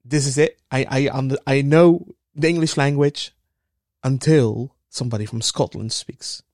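A man from the Netherlands, hosting a casual interview in a halting way, often repeating and restarting his phrases. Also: mid-range voice, a little mellow, no room sound, clean studio sound. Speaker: interview host.

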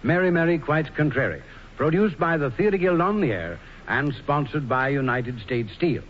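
Male program announcer, slightly high voice, formal 1940s radio delivery, muffled recording. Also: animated, moderate pace, slight background noise.

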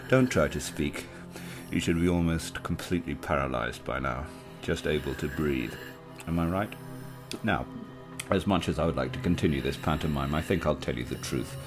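A man playing a gloating old occult killer. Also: rumbling low. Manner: slow, relishing, sinister; laughs. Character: aging rich occult murderer, male, cruel, gloating. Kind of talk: audio drama acting. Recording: music bed under voice.

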